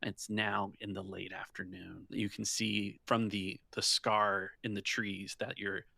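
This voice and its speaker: A man's voice: on the low side, little pitch movement, a little slow, vocal fry, pushed and bright, dry recording. A man hosting a tabletop roleplaying game as game master, narrating scenes in a descriptive, conversational storytelling way.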